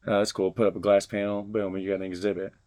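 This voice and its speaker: Low-voiced man reading a letter aloud. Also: level tone, quick pace, darkish tone. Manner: reads stiffly, then jokes loosely between passages. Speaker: vlogger unboxing fan mail.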